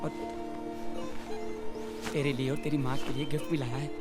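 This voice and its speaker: An actor playing a calm, kindly middle-aged father in a screen drama, gently admonishing as he talks. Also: medium pitch, normal speed, loud music behind the voice, lightly acted. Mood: soothing. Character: calm, kindly father, soothing a hot-headed young woman. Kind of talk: naturalistic screen drama acting.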